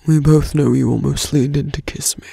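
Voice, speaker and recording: A performer voicing a smug, seductive fantasy villain in an ASMR roleplay, slowly and intimately whispering. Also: medium pitch, fairly even intonation, warm tone, no room sound, clean studio sound. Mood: coaxing, seductive. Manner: slow, breathy whispering, intimate.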